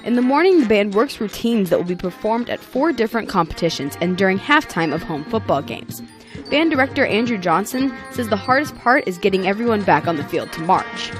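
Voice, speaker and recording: A woman's voice: medium pitch, animated, a little fast. American woman reporting over music. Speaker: local TV news reporter. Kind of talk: scripted news report narration. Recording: music playing behind the voice.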